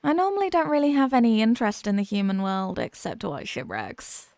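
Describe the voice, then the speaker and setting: A woman's voice: medium pitch, big pitch swings, brisk, darkish tone, no echo. A woman playing an obsessive, haughty young mermaid princess in a roleplay ASMR drama, sweetly smug and condescending. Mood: haughty, amused.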